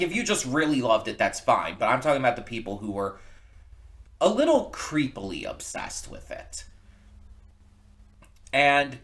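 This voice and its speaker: A man's voice: mid-range voice, a bit bright. Man rambling casually, circling back to rephrase. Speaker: reaction channel host. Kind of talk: casual rambling commentary before a reaction video.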